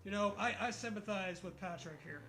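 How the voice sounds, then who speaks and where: Male co-host, high voice, casual comedic read-along with sweary asides, light music behind. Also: brisk, bright, forceful tone. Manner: sweary, mocking. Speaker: co-host reading a story aloud. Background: background music.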